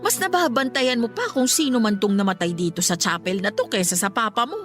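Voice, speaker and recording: A woman playing a concerned middle-aged mother who gently scolds her grown child in a Tagalog radio drama. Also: on the high side, animated, brisk, soft background music. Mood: firm, reproachful.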